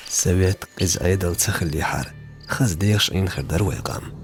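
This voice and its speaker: Slow, deep-voiced man narrating. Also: music in the background. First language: Chechen.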